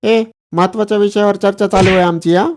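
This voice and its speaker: A man's voice: high-pitched, steady tone, a little fast, close to an everyday voice. An actor playing a short-tempered, mocking village father who blusters and threatens in a comedy cartoon. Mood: scornful, threatening. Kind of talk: exaggerated comedy cartoon dialogue.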